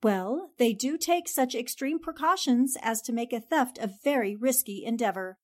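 A woman playing a polite, cautious newspaperwoman in a 1940s-style radio drama, measured and reserved. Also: fairly high pitch, animated, a little mellow. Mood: cautious, reassuring.

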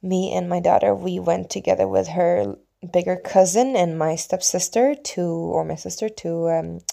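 A woman from Sweden, a native Swedish speaker, telling a personal story in a casual, reflective, meandering way with pauses and hushed asides. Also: on the low side, fairly even intonation, creaky. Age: adult, mother of young children.

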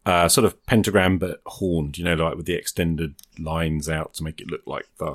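A man's voice: rumbling low, steady tone, normal speed, no echo, studio quality. A man playing a tabletop game, improvising story details casually in a thoughtful, hesitant way.

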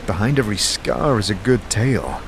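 A man voicing a scarred male hero who talks calmly and dryly, probing with quiet questions. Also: fairly low pitch, brisk, noisy background. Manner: calm, dry, probing.

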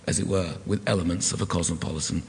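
A man with a croaky, hoarse voice giving a formal academic lecture in measured tones. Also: sits low, fairly even intonation, slight background noise. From United Kingdom. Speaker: guest lecturer. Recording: long hall reverb.

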